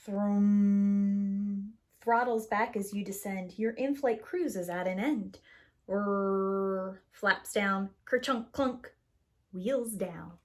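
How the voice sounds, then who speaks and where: Slow-paced woman reading a children's story. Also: medium pitch, darkish tone. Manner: acts out sound words, rhythmic rhyming delivery. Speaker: preschool teacher reading a picture book aloud. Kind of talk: expressive children's story read-aloud.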